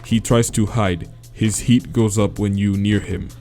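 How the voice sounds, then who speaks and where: A man voicing a big, simple, creepy gray male monster who speaks in broken, halting English. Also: lowish voice, soft background music, close to an everyday voice. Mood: blunt. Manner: broken halting English, short flat sentences.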